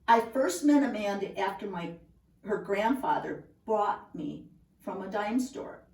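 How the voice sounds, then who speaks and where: Slow-reading woman in a roomy, distant recording. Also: mid-range voice, lively intonation, gravelly, a little mellow. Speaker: storybook reader. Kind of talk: reading a children's picture book aloud. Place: indoors at home.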